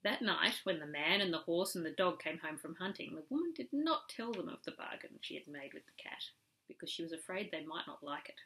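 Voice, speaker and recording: A woman reads a story aloud for a young child. Her voice is bright and clear-cut, at an ordinary female pitch, and she tells it with animated emphasis, leaning into the key words. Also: brisk, rough recording. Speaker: storyteller reading to a young relative. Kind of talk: expressive children's story reading.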